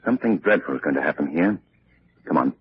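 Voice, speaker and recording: An actor playing a calm, commanding male sleuth in a 1940s radio drama, speaking low and hushed. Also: fast. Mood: hushed, grave. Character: calm, resolute male amateur sleuth investigating mysteries. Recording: muffled, noisy old radio recording.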